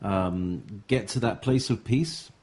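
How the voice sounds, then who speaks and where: A man hosting a talk show interview, speaking in a slow, measured way with fillers. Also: lowish voice, a little mellow. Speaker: host.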